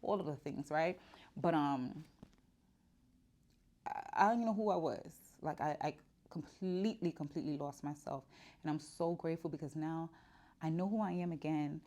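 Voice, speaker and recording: Deep-voiced woman in her 40s, chatty vlog talk from her shop, lots of ums and restarts. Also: normal speed, dark tone. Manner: chatty and candid, with filler words and restarts. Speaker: vlogger. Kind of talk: casual personal vlog.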